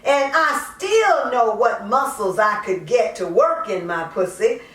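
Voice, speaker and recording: A woman performing a dramatic monologue as a raw, confessional, crack-addicted mother, in halting, colloquial, emphatic speech. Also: fairly high pitch, animated, fairly bright tone. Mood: wistful, confiding. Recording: roomy echo, distant microphone.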